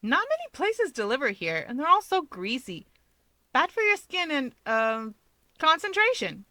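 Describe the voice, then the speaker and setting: A woman's voice: high-pitched, sing-song, normal speed, bright, forceful tone, no echo, pitched above the performer's own voice, full character voice. A woman voicing a cocky, teasing young male hero with a breezy, dramatic, argumentative manner in a story reading. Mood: wheedling.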